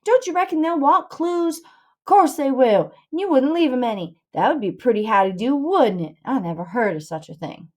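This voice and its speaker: A bossy young schemer from a storybook, acted out by a woman, lecturing in rustic dialect. The voice is on the high side and swoops up and down as he grandly scorns anyone more practical. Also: animated, normal speed, a little mellow. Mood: exasperated, scornful.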